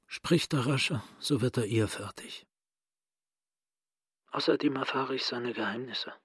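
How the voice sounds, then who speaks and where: An actor playing an educated, ironic, self-assured middle-aged man in a radio play, with a husky voice. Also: medium pitch, measured pace, fairly bright tone, no room sound, studio quality. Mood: dry, assured. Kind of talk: radio play acting in German.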